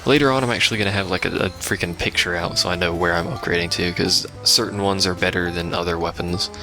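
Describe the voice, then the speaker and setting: A man's voice: deep voice, steady tone, fast, rough recording. A man giving casual, rambling gameplay commentary with dryly sarcastic asides, as a let's play host. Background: game music and sounds.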